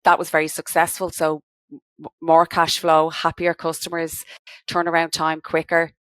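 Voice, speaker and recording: Dublin woman guest, 40s, low voice, dry close sound, chatty storyteller. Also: monotone, moderate pace, no room sound. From Dublin, Ireland. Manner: chatty, explains step by step. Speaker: guest, credit management business owner. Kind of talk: podcast interview.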